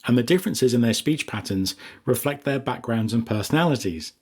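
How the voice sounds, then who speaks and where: A man's voice: medium pitch, expressive, a little fast, darkish tone. A man with a British accent presenting a scripted writing lesson as podcast host, with chatty asides. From Essex, England.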